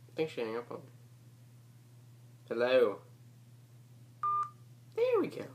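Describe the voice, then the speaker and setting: A man's voice: medium pitch, huge pitch swings, slow pace, a little mellow. A man talking casually and crudely, full of profanity, as he hosts his own prank call video. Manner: crude, joking, rambling. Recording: noisy homemade call recording.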